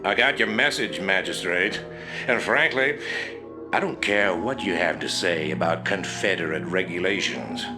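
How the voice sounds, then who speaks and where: An actor playing an arrogant male colonial officer with a slow, condescending drawl. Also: deep voice, natural voice. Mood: scornful. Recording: voice over loud game music.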